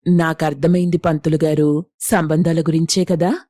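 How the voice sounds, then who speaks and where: A woman's voice: low-pitched, little pitch movement, moderate pace, warm tone, dry recording, studio quality. A woman playing a proud, coaxing middle-aged village mother in a moral story, speaking emphatically. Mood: eager. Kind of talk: Telugu moral story dialogue.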